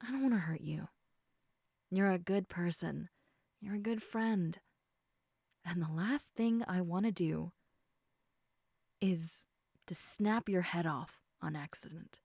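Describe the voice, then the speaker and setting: A woman playing a caring, slightly snarky snake woman in an intimate audio roleplay, speaking in a soft, hesitant conversational murmur that dips into whispers. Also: on the low side, slow, creaky, pauses often, dry recording, clean studio sound, full character voice. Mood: earnest, worried.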